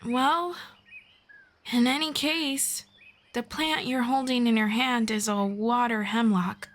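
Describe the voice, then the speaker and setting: Girl doing a friendly elf lady for asmr roleplay, kinda high voice, really slow, calm, playful n a bit sarcastic. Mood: cautious, wry. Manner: measured, playful, gently sarcastic. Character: friendly, teasing female forest elf, calm and wry. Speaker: roleplay voice performer.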